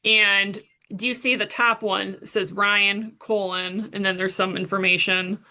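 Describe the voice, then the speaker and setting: A woman's voice: medium pitch, level tone, a little slow, pushed and bright. A woman working as a prosecuting attorney, questioning a witness formally and step by step in court.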